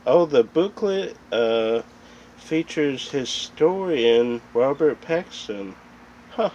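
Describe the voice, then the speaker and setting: A man talks off the cuff in a casual vlog style at an unhurried pace. He rambles and thinks aloud as he looks things over. The recording is dull and lo-fi, with faint noise behind his mid-pitched voice. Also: a little mellow. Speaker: host of a video channel about film collecting. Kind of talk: casual unscripted haul vlog chat. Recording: dull lo-fi sound with background noise.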